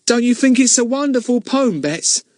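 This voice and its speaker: A man's voice: very high-pitched, animated, normal speed, darkish tone, no echo, clean studio sound, pitched above the performer's own voice. A man voicing a simple, earnest boy who is hopeful and proud, in a storybook reading.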